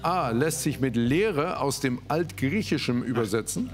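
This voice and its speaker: A man hosting a quiz show, reading out questions and answer options in a measured way. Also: medium pitch, lively intonation, soft background music.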